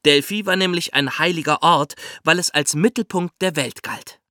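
A man with a bright, somewhat high voice co-hosts a podcast. He explains in a conversational narrating style, recorded very dry and close. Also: moderate pace, dry recording. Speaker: podcast co-host.

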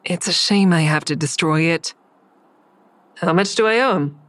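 A woman's voice: on the low side, moderate pace, a bit bright, lightly acted. An actress playing a guarded, defiant woman in an audio drama, narrating and speaking in a terse, dry, confiding way. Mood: curt. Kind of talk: first-person audio drama narration and dialogue. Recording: faint music under the voice.

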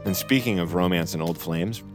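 An actor playing a cocky captive in a psychiatric facility, flippant and rambling, as in an immersive audio drama. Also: male voice, low voice, moderate pace, natural voice. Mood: flippant, chatty. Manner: flippant, rambling, muttering, self-interrupting. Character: cocky, wisecracking male psychiatric captive addressing the listener. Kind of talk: immersive audio drama acting, conversational asides. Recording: music behind the voice.

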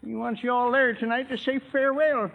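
A folksy elderly small-town man with a very high-pitched voice and rustic talk. He chatters away in classic 1940s radio drama style, on a thin, tinny recording. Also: steady tone, brisk, creaky. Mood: matter-of-fact. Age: older. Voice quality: high-pitched old-timer voice.

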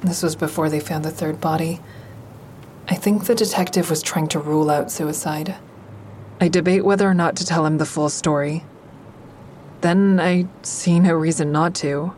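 A woman's voice: low-pitched, steady tone, moderate pace, darkish tone, a little hiss or hum, lightly acted. An actress playing a guarded, wry woman who narrates her troubled romance in an intimate, confiding audio drama style. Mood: measured, guarded. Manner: confiding, dry, introspective.

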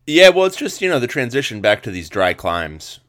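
A man in his thirties hosting a casual sports podcast, slightly hoarse, rambling with lots of fillers and restarts. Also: medium pitch, very animated, fairly quick, a bit bright. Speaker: podcast host and sports reporter.

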